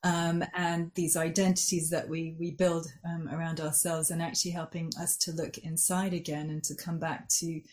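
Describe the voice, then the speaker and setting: A woman with a fairly low voice answers interview questions over a video call. She reflects as she speaks, restarting phrases and filling pauses with "um." She grew up in Switzerland and now works as a coach. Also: little pitch movement, a little mellow. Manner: thoughtful, many restarts and "um"s. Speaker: life coach and part-time lawyer.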